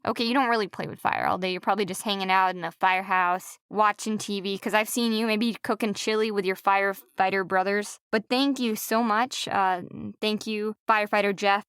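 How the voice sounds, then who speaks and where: A woman delivering a playful, mock-earnest comedic monologue as a podcast presenter, with drawn-out emphasis. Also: medium pitch, a bit bright, smooth, dry recording, studio quality. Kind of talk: comedic monologue about beards.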